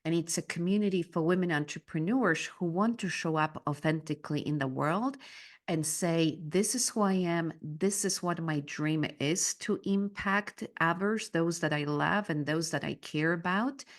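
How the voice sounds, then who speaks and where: A woman from Poland, a native Polish speaker, talking as a guest on a podcast in an earnest, measured way about her coaching work. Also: lowish voice. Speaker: guest, business mindset coach. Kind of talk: podcast interview.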